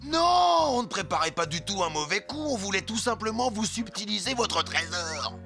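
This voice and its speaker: A man's voice: high voice, sing-song, normal speed, creaky, sharp tone, faint music behind, heavily acted. A man voicing a nervous, fussy, cowardly pirate sidekick in a cartoon, with a melodramatic delivery. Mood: sheepish. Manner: jittery, melodramatic. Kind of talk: cartoon voice acting.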